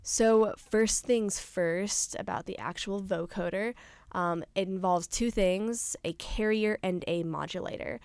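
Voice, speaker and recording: A young adult woman presenting an informal software tutorial, explaining things casually step by step. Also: mid-range voice, a little slow, clear, resonant tone, dry recording. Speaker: tutorial presenter, studio intern.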